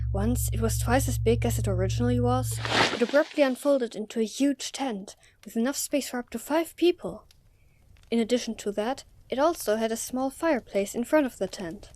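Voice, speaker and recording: High-voiced woman narrating a story. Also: normal speed, dark tone, slight background noise.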